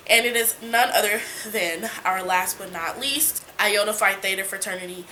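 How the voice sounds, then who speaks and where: Bright-voiced congested young woman vlogger. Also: medium pitch, moderate pace, a little hiss or hum, low-quality recording. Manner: chatty, many fillers and restarts. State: congested from a cold. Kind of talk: casual story time. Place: small indoor room before a folding screen. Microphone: camera in front, seated at mid distance.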